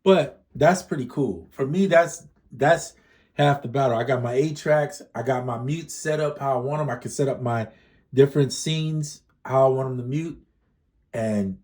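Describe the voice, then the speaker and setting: A man with a mellow, relaxed tone talks casually through a music gear demo. He rambles, corrects himself mid-sentence and leans on plenty of fillers. He sounds slightly congested. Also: medium pitch.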